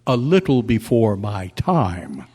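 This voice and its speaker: Man playing a husky, slow-talking formal colonel. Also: on the low side, huge pitch swings, dark tone, full character voice. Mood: puzzled, courteous. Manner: formal, old-fashioned, questioning. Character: stiff, puzzled but courteous military colonel. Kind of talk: old-time radio style stage acting. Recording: echoey hall at a club meeting.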